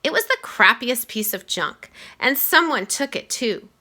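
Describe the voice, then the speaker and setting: A woman's voice: on the high side, sing-song, measured pace, bright, forceful tone, exaggerated voice. A woman voicing an eager, bragging schoolboy in a storybook. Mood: aggrieved.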